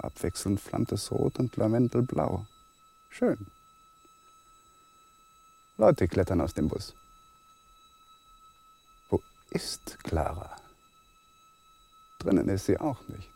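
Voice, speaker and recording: An actor playing an anxious husband, speaking a fragmented inner monologue in a raspy, gravelly voice in a radio play. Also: male voice, a little high, dark tone, heavily acted.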